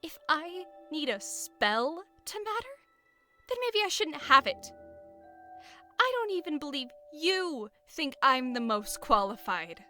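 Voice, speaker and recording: A woman's voice: high voice, sing-song, slow, bright, forceful tone, exaggerated voice. A woman playing a blunt, self-doubting female warrior in a scripted audio roleplay, informal and questioning. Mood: bitter, challenging. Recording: music behind the voice. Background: background music.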